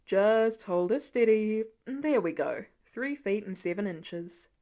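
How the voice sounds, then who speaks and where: A woman voicing a gentle, wry, fatherly man in a story. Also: mid-range voice, lively intonation, slow pace. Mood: fatherly, easygoing.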